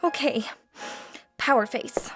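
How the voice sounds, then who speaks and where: A woman's voice: high voice, slow, music in the background. A woman playing a gushing, melodramatic teenage starlet in an old Hollywood radio play. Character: gushing, dramatic seventeen-year-old girl starlet.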